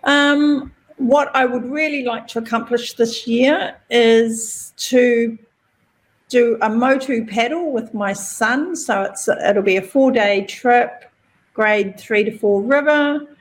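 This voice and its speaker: A woman with a New Zealand accent, a podcast guest telling stories in a relaxed, chatty way. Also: on the high side, slow pace, rough recording. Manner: chatty, relaxed storytelling with fillers. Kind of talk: casual interview chat.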